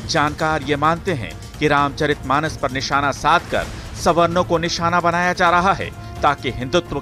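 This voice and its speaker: A man's voice: fairly high pitch, sharp tone. A man reading a scripted news report as a voice-over, in a dramatic, insinuating manner. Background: background music.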